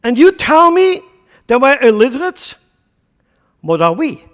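A man's voice: very high-pitched, sing-song, unhurried, a bit bright, big-room sound. A man from central Switzerland with a Swiss German accent, preaching a sermon with emphatic rhetorical questions. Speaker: pastor.

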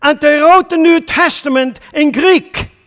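A man's voice: very high-pitched, unhurried, fairly bright tone, in a large hall. A man from central Switzerland with a Swiss German accent, preaching a sermon with emphatic rhetorical questions. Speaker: pastor.

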